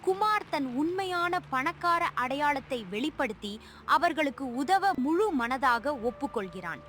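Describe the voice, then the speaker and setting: A woman's voice: high-pitched, animated, measured pace, a bit bright, slight background noise. A woman narrator telling a scripted story in a dramatic style.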